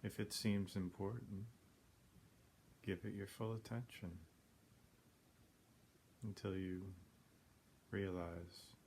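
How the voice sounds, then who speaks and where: Slow, low-voiced man guiding meditation. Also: steady tone, darkish tone. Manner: unhurried, plain-spoken, long pauses between phrases. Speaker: meditation guide. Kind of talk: guided meditation, spoken softly paced. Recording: clearly noisy background ambience.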